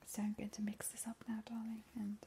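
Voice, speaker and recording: A woman playing a sly, macabre immortal vampire who scorns mortals, whispering slowly and teasingly in an ASMR roleplay. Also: medium pitch, completely flat delivery, gravelly, mellow, a little hiss or hum, natural voice. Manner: slow, teasing, drawn-out whispering. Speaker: ASMR host. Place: indoor kitchen.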